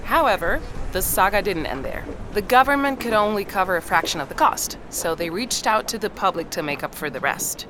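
An actress playing a chatty, amused friend who tells an anecdote in an animated, gossipy way in an audio drama. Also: female voice, mid-range voice, fairly quick, few pauses, natural voice. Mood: amazed. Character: chatty, amused woman friend, enthusiastic storyteller. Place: outdoors on a walk in town. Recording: clear street noise, outdoor ambience.

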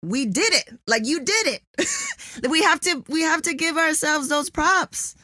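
A woman hosting a casual interview, enthusiastic and expressive, laughing often. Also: high voice, unhurried, dry recording. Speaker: interview host.

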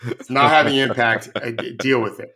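Blunt, bright-voiced male coach. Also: medium pitch, steady tone, normal speed. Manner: blunt, opinionated, loud. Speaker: podcast co-host, basketball coach. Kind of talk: casual sports chat.

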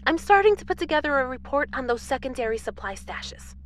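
A woman's voice: high voice, sing-song, fairly quick. A woman playing an eager, orderly moon base administrator in an audio drama. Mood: businesslike.

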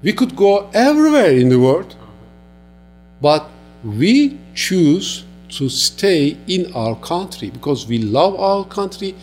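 A man from Turkey with a Turkish accent, speaking halting, earnest non-native English as an interview guest giving testimony. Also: high voice, very animated, a little mellow, faint music behind.

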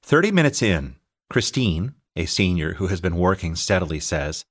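A middle-aged American man reading his own audiobook as an author and teacher, telling anecdotes in an expressive, scripted style. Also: deep voice, moderate pace, husky. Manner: expressive, anecdotal storytelling, acting out dialogue. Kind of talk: scripted narration, audiobook memoir.

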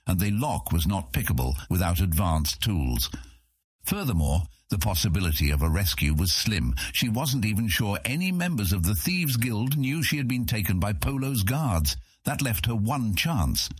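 A man's voice: low voice, slightly rough, dry recording, studio quality. A man narrating a scripted fantasy audiobook as its storyteller.

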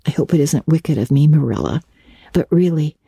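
A woman's voice: low-pitched, animated, moderate pace, gravelly, dark tone, slightly rough, no room sound, clean studio sound, heavily acted. A woman playing an earnest, imaginative, chatty schoolgirl in her early teens, full of opinions and dramatic flourishes, in a storybook reading. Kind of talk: dramatic audiobook reading of classic novel.

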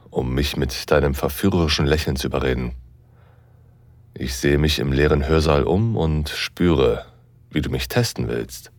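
A man's voice: a bass voice, no echo, full character voice. A man playing a seductive, commanding university professor who narrates an intimate audio drama in the first person, sighing between phrases. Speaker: narrator and lead actor.

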